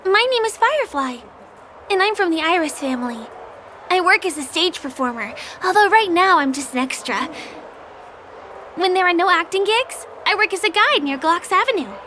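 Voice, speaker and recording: Firefly, a sweet young guide. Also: female voice, very high voice, lively intonation, moderate pace, a little hiss or hum, full character voice. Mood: friendly. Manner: gentle, eager to please. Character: friendly young woman guide and stage performer. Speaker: game character. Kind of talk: game dialogue voice acting. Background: game music.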